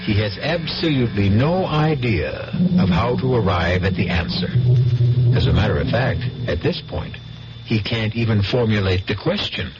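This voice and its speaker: A man hosting a mystery radio show, narrating in a deliberate, suspenseful, scripted 1970s style. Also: fairly low pitch, animated. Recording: dull 1970s radio recording with music.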